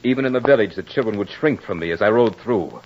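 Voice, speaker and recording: An actor playing a proud, battle-hardened soldier in old radio horror drama, with formal, theatrical diction. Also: male voice, fairly low pitch, expressive, fast, a little hiss or hum, lightly acted. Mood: brooding, remorseful. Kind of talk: 1940s-50s radio horror drama acting. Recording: muffled old-time radio recording with music.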